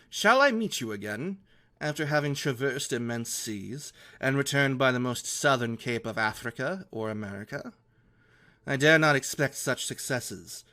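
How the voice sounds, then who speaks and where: A man reading a classic novel aloud as an earnest, romantic gentleman explorer, in formal literary phrasing. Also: medium pitch. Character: earnest, romantic young gentleman explorer writing letters home.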